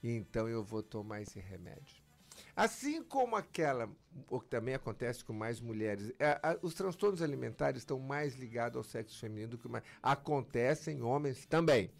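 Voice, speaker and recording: A man's voice: mid-range voice, normal speed, gravelly, soft background music. A man, a physician guest explaining medical matters in an interview, emphatic and acting out patients' words. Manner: lively, emphatic, acts out patients' lines.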